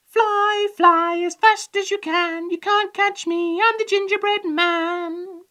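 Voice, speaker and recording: A woman's voice: extremely high pitch, wildly swooping pitch, extremely slow, fairly bright tone, pitched above the performer's own voice, full character voice. A woman voicing a cheeky little gingerbread man, gleeful and taunting in a singsong way.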